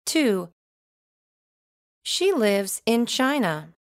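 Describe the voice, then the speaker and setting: Slow, carefully enunciating woman reader. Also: a little high, sing-song, dry recording, studio quality. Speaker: recorded listening test reader. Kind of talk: scripted listening exercise.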